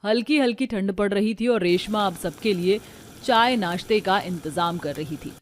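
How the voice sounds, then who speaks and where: A woman's voice: medium pitch, normal speed, faint music behind. A woman narrator reading a scripted storybook tale for a cartoon.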